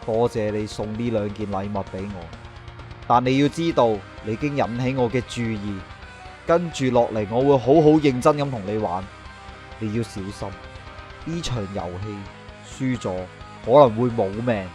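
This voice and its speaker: A man plays a proud young Hong Kong police superintendent in a Cantonese radio drama. He is obsessive and menacing, brooding theatrically in a mid-pitched voice over a music bed. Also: moderate pace, a little mellow, natural voice. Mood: cold menace. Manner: theatrical, brooding, swinging to outbursts. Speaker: voice actor.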